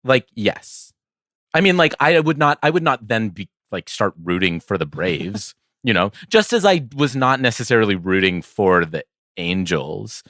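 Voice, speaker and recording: Low-voiced, easygoing man, dry close sound. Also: very animated, a little slow, fairly bright tone, no echo. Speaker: podcast co-host. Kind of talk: casual sports chat.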